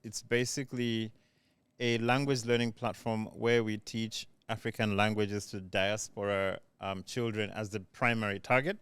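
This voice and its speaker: A man co-hosting a podcast, joking and quick with banter. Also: on the low side, little pitch movement, fairly bright tone, smooth, dry recording. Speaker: podcast co-host. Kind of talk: casual podcast chat.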